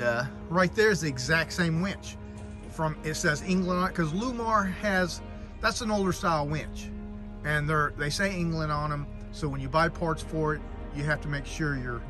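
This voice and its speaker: A man's voice: on the high side, moderate pace, over music. A man in his fifties vlogging casually outdoors on a sailboat at a marina, rambling and correcting himself as he talks. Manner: rambling, self-correcting, conversational. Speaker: boat owner vlogger. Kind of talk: casual vlog talk.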